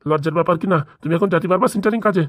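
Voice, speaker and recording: A man's voice: sits high, very fast, no echo, close to an everyday voice. A man voicing a pushy, scheming father-in-law in a comic cartoon, badgering with questions. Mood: nagging, pushy. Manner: badgering, nagging with rhetorical questions. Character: pushy, scheming father-in-law pressing his son-in-law for money.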